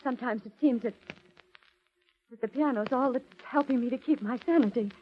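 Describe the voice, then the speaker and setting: An actress playing a fragile, high-strung wife in a 1940s radio drama, theatrical and pleading. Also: female voice, brisk, a little hiss or hum, natural voice. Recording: muffled vintage 1940s radio recording.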